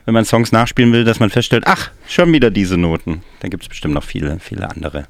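A man's voice: lowish voice, animated, a little fast, vocal fry, dry recording. A man hosting a music show in casual, rambling, joking chat. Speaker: radio music show host. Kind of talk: casual chatty radio moderation.

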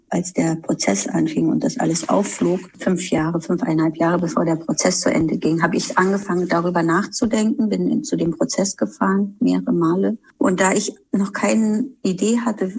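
Woman, film director, husky voice, flat delivery, thoughtful interview answers. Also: lowish voice, poor audio. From Germany. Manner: thoughtful, searching, with fillers and restarts.

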